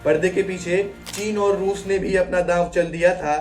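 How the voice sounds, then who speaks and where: A man's voice: sits high, fairly even intonation, moderate pace, far from the mic. A man delivering a scripted news explainer in a dramatic manner, posing rhetorical questions. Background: background music.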